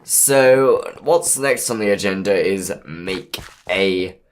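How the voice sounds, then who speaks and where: A man with a hoarse voice from a cold, easygoing and punny as he plans his moves aloud while commentating a game. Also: deep voice, slow pace, slight background noise. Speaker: gameplay commentator. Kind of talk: let's play commentary.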